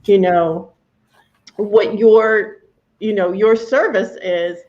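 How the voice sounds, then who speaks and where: A woman hosting a video call interview, giving quick agreement as she listens and then framing questions. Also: medium pitch, fairly even intonation, a little mellow, dull audio. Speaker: interview host. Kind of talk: casual interview chat.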